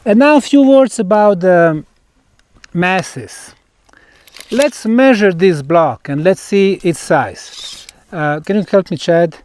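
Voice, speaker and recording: A man with a Bosnian accent explains a site on location as a researcher, in deliberate, emphatic short phrases with pauses. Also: high voice, lively intonation, a little mellow, dry recording. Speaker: researcher presenting a field investigation.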